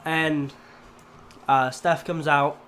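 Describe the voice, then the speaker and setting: A man's voice: a little high, steady tone, drawn-out words, a bit bright, a little hiss or hum. A man co-hosting a casual wrestling review, laid-back and trailing off, a bit stuffy from a cold. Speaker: co-host. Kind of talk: casual chat.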